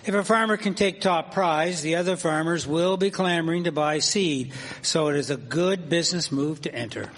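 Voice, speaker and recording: A man's voice: on the high side, normal speed, hardly any pauses, fairly bright tone, a little hiss or hum. A man playing a keen, practical 1870s fairgoer in a historical radio drama, chatty in an old-fashioned way, in a reverberant hall. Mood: eager. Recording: long echoing hall reverb.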